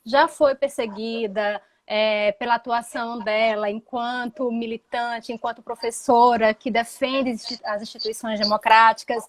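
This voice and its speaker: A woman lawyer hosting a live stream over a video call, explaining things step by step and checking in with tag questions. Her voice is noticeably high. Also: fairly bright tone.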